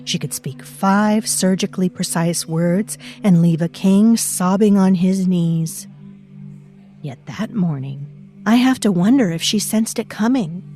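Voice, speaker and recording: A woman's voice: mid-range voice, dark tone, close to an everyday voice. A woman narrating an audio drama as a mysterious presence living in a girl's mind, musing darkly and speaking straight to the listener. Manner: musing, ominous, addresses the listener directly.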